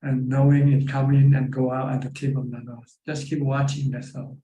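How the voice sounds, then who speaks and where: A man with a Thai accent who teaches meditation, answering a caller's questions on a live video call in a calm, patient, plain instructive way. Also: medium pitch, moderate pace, echoey room, a little hiss or hum, low-quality recording.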